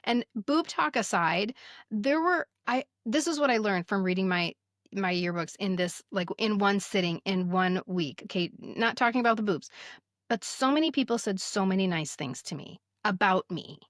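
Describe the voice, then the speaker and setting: An American woman in her fifties telling stories casually as a podcast host, expressive and emphatic, sometimes reading aloud. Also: medium pitch, moderate pace, no room sound, studio quality. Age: 50s. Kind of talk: casual conversational storytelling, some reading aloud.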